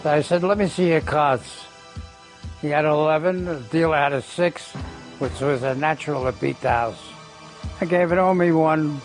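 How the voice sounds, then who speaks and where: An older man with a somewhat high voice tells anecdotes in an interview. He is plain-spoken and salty, like a casual raconteur. Guitar music plays clearly behind him. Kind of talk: anecdotal conversational storytelling.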